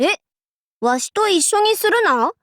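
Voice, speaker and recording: A high, very animated young warlord girl for an anime game, recorded close and dry. She speaks in grand archaic lordly phrases, imperious and boastful, yet slips readily into playful clowning. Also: measured pace, dry recording, full character voice. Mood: breezy, teasing. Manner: archaic lordly speech, haughty, abruptly switching to goofy. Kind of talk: Japanese anime game character voice lines.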